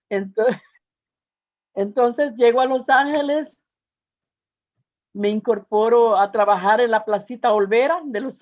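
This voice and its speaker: An older woman with a Salvadoran Spanish accent gives a personal testimony to an audience, speaking earnestly and haltingly, with searching pauses and repetitions. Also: a little high, muffled recording.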